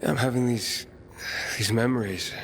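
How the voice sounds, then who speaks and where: An actor playing a troubled young wanderer in a video game, speaking in a hesitant, halting way. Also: male voice, medium pitch, fairly even intonation, background noise, natural voice. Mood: troubled, haunted. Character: troubled young male wanderer searching for his sister. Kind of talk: video game cutscene acting.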